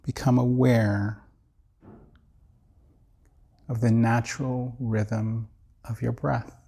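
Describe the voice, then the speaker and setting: Male meditation guide: mid-pitched, gravel-edged, slow and measured, soothing delivery with plenty of space between phrases. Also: level tone, warm tone. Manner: measured, soothing, with long pauses. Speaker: meditation teacher. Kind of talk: guided body scan meditation.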